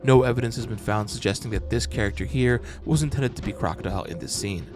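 A man's voice: lowish voice, quick pace. A man hosting an explainer, reading scripted narration in a breakdown style. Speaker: host of fan breakdown channel. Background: background music.

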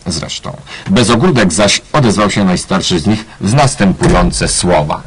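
A man's voice: low voice, lively intonation, normal speed, slight background noise. A man narrating a radio play parody in a mock-solemn, ironic way. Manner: mock-solemn, tongue-in-cheek.

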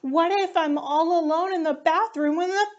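Woman acting shrill, excitable little animal pupil. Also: normal speed, muffled recording. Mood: scared. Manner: shrill, exclaiming. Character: frightened, excitable young animal pupils in a classroom.

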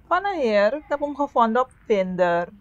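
A woman's voice: fairly high pitch, very animated, moderate pace, highs cut off. A woman playing a polite young woman hosting a date, in naturalistic film dialogue. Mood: casual, defensive. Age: young adult. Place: dim living room indoors.

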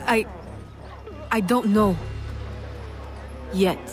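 An actress playing a poised, self-possessed young gentlewoman in a video game, speaking formally and firmly. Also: medium pitch, very slow, music in the background. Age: young adult. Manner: composed, formal, firm. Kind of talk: video game cutscene acting.

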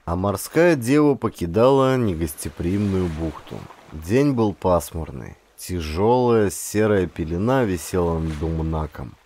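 Low-voiced man narrating dramatic adventure. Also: expressive, measured pace, few pauses, a little mellow, a little hiss or hum. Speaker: audiobook narrator, actor.

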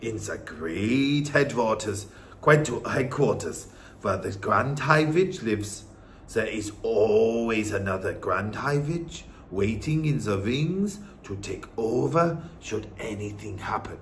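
A man plays a wise old grandmother from a storybook. The delivery is soft, hushed and unhurried, with a calm, knowing temper. The words come in a measured, reassuring way. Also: fairly low pitch, slight background noise, voice pushed down. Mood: grave, hushed.

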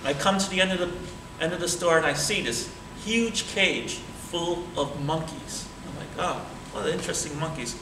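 A middle-aged man telling a comic tall tale live with energetic, theatrical delivery. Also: on the high side, moderate pace. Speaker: speech contest storyteller. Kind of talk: animated live comic storytelling. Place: indoor meeting hall with small stage. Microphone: far camera microphone. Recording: distant camera, echoey room, background noise.

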